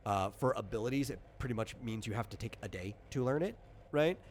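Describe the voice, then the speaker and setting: A man's voice: mid-range voice, expressive, fairly quick. Guy game master explaining rules real casual, bit of noise behind him. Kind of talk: casual rules explanation during a tabletop RPG session.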